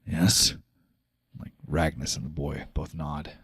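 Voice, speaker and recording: A man's voice: lowish voice, lively intonation, unhurried, breathy edge, dry recording, studio quality. A man running a tabletop fantasy game, mixing dramatic storytelling with casual, sweary table talk. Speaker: game master.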